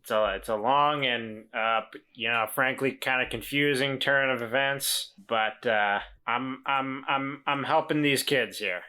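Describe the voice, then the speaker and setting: A man playing a world-weary, self-doubting man who talks in a halting, stammering way in a tabletop game. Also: mid-range voice, sharp tone, clean tone. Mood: hesitant. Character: Walter, hesitant, world-weary man helping lost children.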